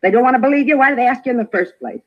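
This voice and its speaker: An actress playing a prickly, self-important neighborhood gossip in a 1950s radio drama, huffy and argumentative in clipped, emphatic phrases. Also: female voice, high-pitched, racing. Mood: huffy, irritated. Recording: dull vintage radio recording.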